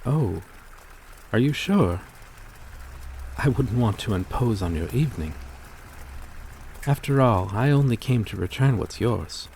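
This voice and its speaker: A man playing a gentle, courteous vampire in an intimate ASMR roleplay, speaking in a formal, hesitant, apologetic way. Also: on the low side, expressive, a little slow, pauses often, a little hiss or hum. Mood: courteous, grateful. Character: courteous, gentle male vampire stranger, kindly and old-fashioned.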